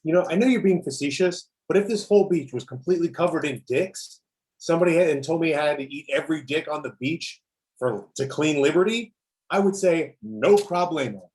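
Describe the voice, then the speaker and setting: A cocky, earnest superhero soldier from an action comedy, read by a man. The voice is fairly high for a man, argumentative and self-righteous in its delivery. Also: expressive, brisk, darkish tone, natural voice. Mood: needling, self-righteous. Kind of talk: amateur script table read.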